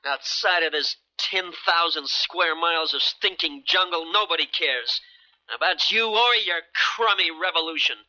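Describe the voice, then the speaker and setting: An actor with an American accent playing a brash, slippery smuggler in a 1970s radio drama, protesting glibly with stammers. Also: male voice, a little high, measured pace, pushed and bright. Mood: indignant. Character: brash, slippery American boat-owning smuggler, indignant under pressure. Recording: muffled old radio broadcast recording.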